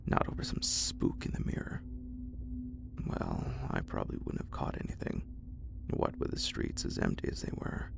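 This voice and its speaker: A man reading a horror story in a breathy ASMR whisper, in a deliberate, literary storytelling manner. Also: background noise.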